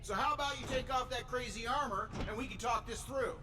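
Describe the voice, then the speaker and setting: An actor playing a youthful, nervously friendly superhero in a video game, casual and tentative. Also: male voice, very high voice, brisk, noisy background. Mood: placating. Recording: music behind the voice.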